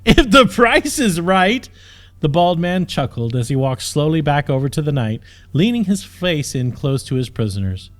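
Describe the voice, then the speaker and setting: A man's voice: medium pitch, expressive, normal speed, warm tone. A man narrating a scripted fantasy audiobook. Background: music.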